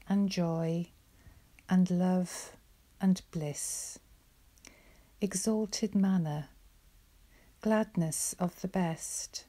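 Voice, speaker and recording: A woman recites poetry at a very slow, deliberate pace. Her tone is mellow, and she leaves a pause after each short phrase. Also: on the low side. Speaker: poetry reader.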